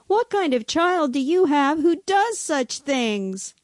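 A woman as high-pitched, aggrieved villagers. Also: very animated, takes it slowly, no room sound, studio quality, exaggerated voice. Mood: accusing. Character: indignant, aggrieved villagers and parents accusing a child. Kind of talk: dramatic storybook read-aloud.

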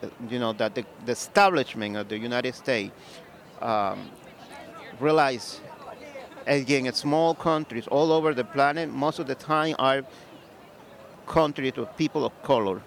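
A man from Venezuela with a Venezuelan Spanish accent, speaking earnestly and haltingly at an outdoor street rally. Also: mid-range voice, creaky. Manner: earnest, halting, with many fillers. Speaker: activist interviewee. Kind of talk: street interview.

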